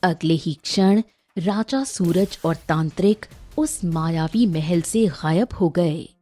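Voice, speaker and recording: Dark, husky woman storyteller. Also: fairly low pitch, takes it slowly, faint music behind. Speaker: narrator. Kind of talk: scripted storytelling narration.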